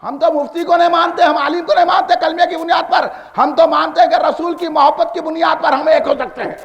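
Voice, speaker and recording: High-pitched, fiery male Deccani preacher in echoing hall. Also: fairly even intonation, gravelly, muffled recording. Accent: Hyderabadi Deccani. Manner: combative, emphatic, repetitive, with rhetorical questions. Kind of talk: fiery polemical sermon. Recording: long hall reverb on amplified speech.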